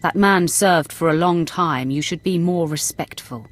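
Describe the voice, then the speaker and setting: An actress playing a merciless Sith acolyte in a video game, speaking in a cool, imperious, sardonic manner. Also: female voice, fairly low pitch, normal speed, close to an everyday voice. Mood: cold, commanding. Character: cold, commanding female Sith acolyte, merciless. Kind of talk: video game cutscene acting.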